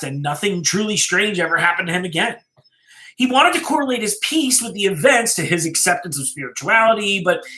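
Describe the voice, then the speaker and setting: Man reading a story, bright echoey voice. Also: high voice. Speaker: storyteller reading a written horror story aloud. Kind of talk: live dramatic story reading, first-person narration. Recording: roomy, echoey live-stream sound.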